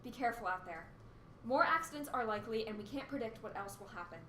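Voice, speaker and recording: An anxious, determined female radio host played by an actress in a disaster radio play. Her voice is bright and a little high, recorded in a roomy space with echo. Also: animated, fast talker. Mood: worried, steadying. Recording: roomy echo with faint noise.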